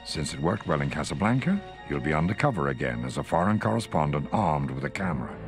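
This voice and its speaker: Allied briefing officer, war game. Very deep voice, lively inflection, grave and commanding with dry wit, music underneath. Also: male voice, exaggerated voice. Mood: businesslike, urgent. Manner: measured, authoritative, dryly contemptuous of the enemy. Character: male Allied intelligence officer briefing an agent, wry and grave. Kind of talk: scripted video game mission briefing.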